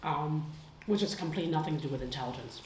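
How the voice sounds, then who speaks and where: A woman's voice: deep voice, fast talker, echoey room, background noise. Blunt, laughing woman from Hong Kong. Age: 30s. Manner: blunt, swears freely, laughs often. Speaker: guest. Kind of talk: casual chat. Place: her home.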